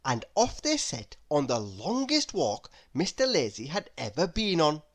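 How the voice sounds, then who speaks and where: A woman narrating a children's storybook aloud in an expressive, dramatic and deliberate way. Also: sits low.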